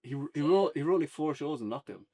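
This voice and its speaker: A man's voice: on the high side, lively intonation, fast, mellow, breathy edge. An Irish man co-hosting a casual, unscripted review podcast, talking in an informal, conversational way.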